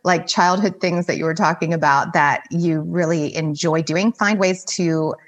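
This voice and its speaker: A woman's voice: on the low side, fairly even intonation, normal speed, lo-fi sound. A woman life coach giving casual, conversational advice as a podcast guest, with informal phrasing peppered with "like". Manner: informal, heavy use of "like".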